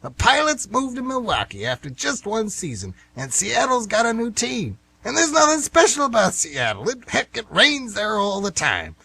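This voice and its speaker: Casting: male actor as stubborn, folksy retiree of fifty-six, husky and bright, an aggrieved grumbler for radio theatre. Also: high voice, huge pitch swings, normal speed, few pauses, full character voice. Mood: indignant. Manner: folksy, defensive, exclamatory. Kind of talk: amateur radio theatre acting.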